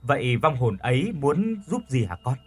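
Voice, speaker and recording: A man voicing a kindly, dignified sixty-year-old village healer in an audio story, with an unhurried, fatherly manner. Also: medium pitch, sing-song, slightly rough. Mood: calm, knowing.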